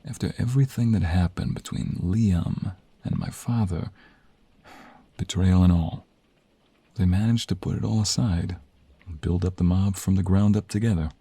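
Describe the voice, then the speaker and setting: A man performing an ASMR romantic roleplay as an affectionate, teasing mobster husband and young father, in a breathy, husky voice with intimate, drawn-out delivery. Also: deep voice, dark tone, no echo, heavily acted. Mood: warm, reflective. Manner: intimate, drawn-out, playful delivery with sighs and murmurs.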